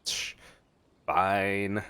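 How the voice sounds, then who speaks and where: A man's voice: low voice, level tone, very slow, noisy background. A man streaming a game with casual reaction commentary, given to wry, joking asides. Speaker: gameplay streamer. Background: faint game music.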